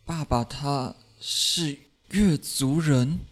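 Man acting husky, guarded young half-blood. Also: on the high side, drawn-out words. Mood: stunned. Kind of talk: Chinese audio drama acting.

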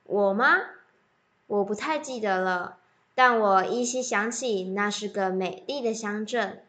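A woman's voice: medium pitch, a little slow, sharp tone. A teenage girl playing a trusting elder ghost, a wanderer who is easily exasperated, in an amateur radio drama. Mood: wistful.

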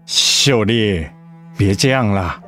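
An actor playing a devoted, teasing middle-aged husband in a radio drama, with a Taiwanese accent and a coaxing way of talking that easily gets flustered. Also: male voice, on the low side, lively intonation, slow pace, pushed and bright, soft background music, full character voice. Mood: soothing. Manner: coaxing, stammering when cornered.

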